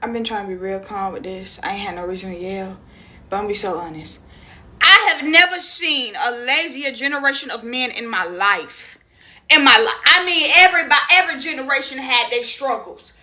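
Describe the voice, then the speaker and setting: A woman venting in an exasperated, emphatic rant for a social video. Her voice sits high and swings widely, with a very bright, cutting edge. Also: animated, a little fast.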